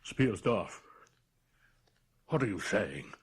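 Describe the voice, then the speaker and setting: Fretful older dad in radio drama, super raspy breathy, swoops about a lot. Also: male voice, on the low side, sing-song, slow, full character voice. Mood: alarmed. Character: anxious wealthy father, older gentleman fearing for his daughter. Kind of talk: 1980s radio drama acting.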